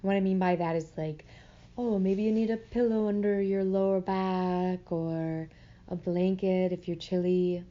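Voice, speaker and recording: Mellow low-voiced woman guiding meditation. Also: fairly even intonation, dull audio. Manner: soothing, gentle, unhurried with long pauses. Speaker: meditation guide. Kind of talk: guided meditation.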